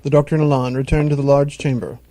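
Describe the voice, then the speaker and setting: A man reading scripted audio drama narration in a deliberate, suspenseful manner. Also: medium pitch, level tone, dark tone, a little hiss or hum. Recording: music bed behind the narration.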